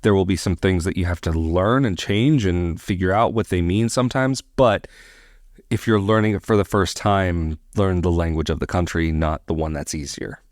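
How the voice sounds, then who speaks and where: A man hosting a reaction video, speaking in a thoughtful, measured way with frequent hedges. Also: deep voice, a little mellow, no echo, studio quality. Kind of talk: casual commentary. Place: living in Portugal.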